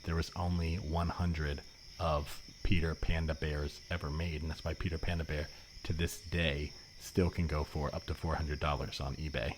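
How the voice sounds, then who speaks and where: A man playing an earnest, rambling know-it-all economist in an improvised comedy podcast, explaining things in a halting way. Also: extremely low pitch, flat delivery. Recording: faintly noisy remote podcast recording.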